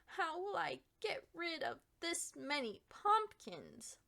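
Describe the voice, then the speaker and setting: A woman's voice: very high-pitched, wildly swooping pitch, slow pace, bright, forceful tone, pitched above the performer's own voice, exaggerated voice. A woman reading a storybook, voicing a stubborn, fussy woman gardener in an emphatic, grumbling manner. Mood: fretting, resigned.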